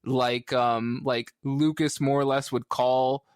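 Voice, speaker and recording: Man in his thirties, podcast panelist, casual chat, animated storyteller, mid-pitched voice, dry sound. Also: slow, smooth, dry recording.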